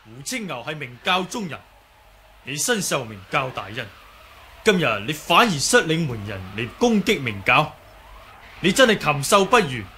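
Young wuxia hero, stern, composed, highish voice, Hong Kong Cantonese, deliberate accusing delivery, old radio play. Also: male voice, sing-song, sharp tone. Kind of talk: 1980s Cantonese radio drama acting. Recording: faint hiss on old radio recording.

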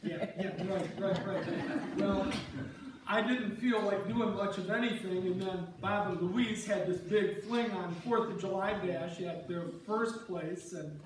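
Actor as a high-voiced rambling husband, echoey hall. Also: male voice, measured pace. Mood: fond, amused. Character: warm rambling husband Wally, reminiscing about meeting his wife. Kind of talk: amateur reader's theater performance. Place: on stage in a church, far camera. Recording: echoey church hall, distant mic, noisy.

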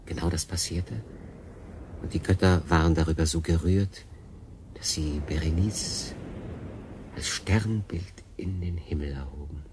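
Tired castaway sailor in a radio play, low pensive voice, noisy background. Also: male voice, steady tone, measured pace, long pauses. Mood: musing. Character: stranded seafarer, weary, pensive, determined man. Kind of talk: radio drama acting.

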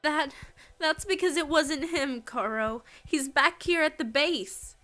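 A woman's voice: sits high, takes it slowly, sharp tone, exaggerated voice. A woman voice acting a tense, commanding young spaceship survivor in an amateur game roleplay. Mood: confused, tense.

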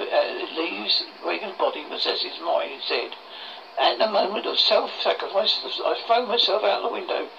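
A horror host reading a scripted story aloud in a halting way, stumbling over words, on a muffled lo-fi recording. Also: medium pitch, vocal fry, sharp tone. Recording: muffled lo-fi recording with faint noise.

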